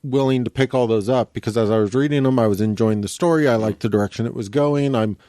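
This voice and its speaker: A man's voice: mid-range voice, quick pace, warm tone, dry recording, clean studio sound. A man talking casually as a podcast co-host, explaining his points and probing with questions. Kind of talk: casual panel chat about comic books.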